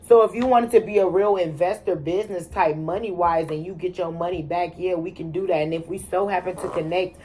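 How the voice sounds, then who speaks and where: Young woman, mid-twenties, lowish voice, blunt slangy chat, lots of swearing, hosting. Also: fairly even intonation, brisk, few pauses, darkish tone. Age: 20s. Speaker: channel host. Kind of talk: casual chat.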